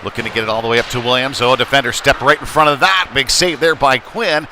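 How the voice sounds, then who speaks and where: A man giving live play-by-play commentary on ice hockey in a halting way, with frequent pauses. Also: medium pitch, fairly even intonation, bright, forceful tone. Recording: noisy live rink broadcast.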